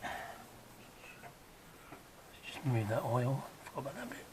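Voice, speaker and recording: A man with a croaky voice gives a casual, hands-on how-to while working on an engine in a garage, chatting along and dropping to a mutter as he works. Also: mid-range voice, big pitch swings, measured pace, noisy background. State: croaky, recovering from illness. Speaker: DIY car repair vlogger.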